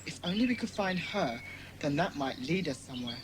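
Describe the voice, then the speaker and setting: A woman's voice: sits low, expressive, brisk, noisy background. A woman acting a bossy, sensible schoolboy club leader in a dramatised children's audiobook. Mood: decisive. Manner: commanding, sensible.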